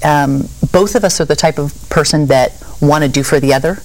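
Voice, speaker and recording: A woman's voice: low-pitched, fairly quick, poor audio. A woman guest in an interview, talking earnestly with many ums and you-knows. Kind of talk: casual interview talk.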